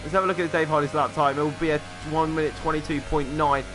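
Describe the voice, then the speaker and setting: A man's voice: fairly high pitch, over music. Man calling a sim race. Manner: quick, excitable lap-by-lap calling with asides. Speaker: race commentator. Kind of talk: sim racing commentary.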